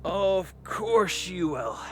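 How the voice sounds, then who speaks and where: A man's voice: sits high, sing-song, noisy background, heavily acted. Man playing a menacing grizzled Northern Irish gang leader. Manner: taunting, deliberate threats. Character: grizzled menacing leader of armed post-apocalyptic outsiders. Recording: loud music over the voice.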